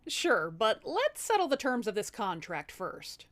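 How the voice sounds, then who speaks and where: Woman acting a sassy, shrewd young wife, lively. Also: on the high side, a little slow, exaggerated voice. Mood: diplomatic. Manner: sassy, direct, modern slangy delivery. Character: shrewd, bold young human wife, negotiating firmly.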